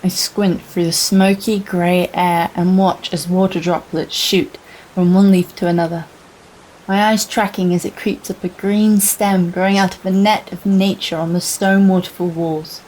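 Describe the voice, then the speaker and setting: A young woman playing an earnest, wistful girl explorer in a radio play, narrating in a measured, confiding, dramatic way. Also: on the low side, level tone, darkish tone, a little hiss or hum, lightly acted, low-quality recording. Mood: tense wonder. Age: young adult. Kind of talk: scripted first-person radio play monologue.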